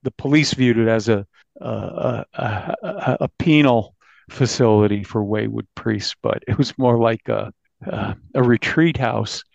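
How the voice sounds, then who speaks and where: A man's voice: mid-range voice, a little slow, creaky, a little mellow, no room sound, lo-fi sound. A man being interviewed as a journalist, talking conversationally and often repeating words as he goes.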